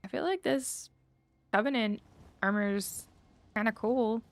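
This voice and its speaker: A woman gaming streamer giving relaxed, musing, casual commentary as she plays. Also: fairly high pitch, unhurried. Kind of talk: casual game commentary and reading dialogue aloud.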